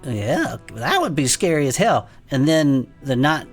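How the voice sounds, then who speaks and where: A woman's voice: sits low, big pitch swings, unhurried, soft background music, exaggerated voice. A woman playing a wry, easygoing podcast co-host in a comedic audio drama, speaking casually and with plenty of slang. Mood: wry musing. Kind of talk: conversational comedic audio fiction.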